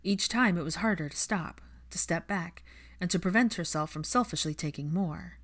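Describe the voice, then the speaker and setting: A woman's voice: lowish voice, moderate pace, a bit bright. A woman reading fiction aloud as an audiobook narrator, in scripted storytelling style.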